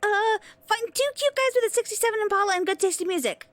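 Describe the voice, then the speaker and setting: A woman voicing an excitable, cheeky magical sidekick in an audio drama, chattering and exclaiming over noise. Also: very high voice, quick pace, dry recording. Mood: excited, startled.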